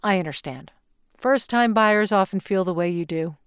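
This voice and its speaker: A woman's voice: mid-range voice, normal speed, clear, resonant tone, no echo, studio quality, lightly acted. A woman playing an unflappable, businesslike alien real estate agent in an audio play, with a salesy delivery. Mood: smooth sales pitch.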